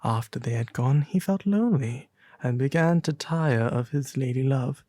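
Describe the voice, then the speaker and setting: A man voicing an aristocratic male demon prince, pompous and refined, with soft, sometimes breathy, drawn-out delivery in an ASMR roleplay reading. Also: medium pitch, no room sound, studio quality, close to an everyday voice. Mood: gentle, storytelling.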